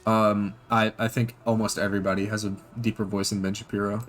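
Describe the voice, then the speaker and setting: A man giving casual reaction commentary, halting with ums and restarts, blunt and profane. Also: lowish voice, level tone, slight background noise. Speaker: reaction channel host.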